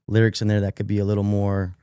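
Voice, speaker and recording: Forties male guest, low flat mellow voice, relaxed filler-heavy talk. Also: fast, clear, resonant tone, dry recording, studio quality. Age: 40s. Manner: laid-back, many "like" and "you know" fillers. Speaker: guest hip hop artist. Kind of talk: casual interview chat. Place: podcast studio.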